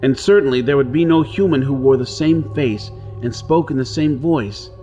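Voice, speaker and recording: An actor playing a wary, brusque hunted outlaw in a pulp radio drama, speaking and narrating in a dramatic, brooding manner. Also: male voice, mid-range voice, normal speed, dark tone, muffled recording, lightly acted. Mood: brooding, reflective. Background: music.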